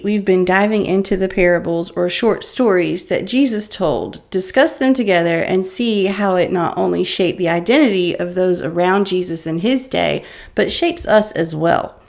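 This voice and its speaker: A woman with a mid-pitched voice reads a prepared sermon. She explains and tells the story in a measured way, moving between narration and scripture reading.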